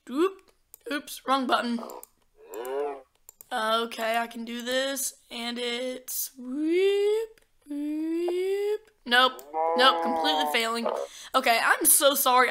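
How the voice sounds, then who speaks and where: A woman talking casually while playing a game, chatty and easily excited, with a sore throat that makes her voice crack. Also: sits high, heavy background noise. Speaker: gamer. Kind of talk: casual gameplay commentary.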